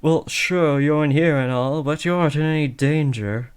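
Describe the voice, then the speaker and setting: A man's voice: a little high, normal speed, smooth, natural voice. A young man playing a villain's teenage son in a scripted roleplay, taunting theatrically and slipping into sheepish, casual talk. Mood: casual, boastful. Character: teenage villain's son playing at kidnapping, cocky but awkward. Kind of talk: scripted roleplay audio addressed to the listener.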